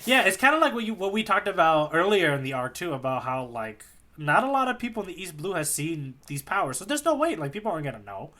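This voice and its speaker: A man's voice: on the high side, sing-song, fast talker, bright, forceful tone. A man co-hosting a podcast, chatting casually about comics in a rambling way that often repeats words. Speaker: podcast co-host. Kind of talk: casual chat about a manga.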